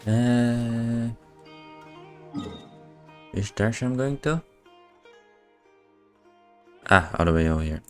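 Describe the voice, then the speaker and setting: A man streaming a video game with casual, low-key commentary, muttering short reactions to himself as he plays. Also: animated, slow, long pauses, soft background music. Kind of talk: casual live gameplay commentary.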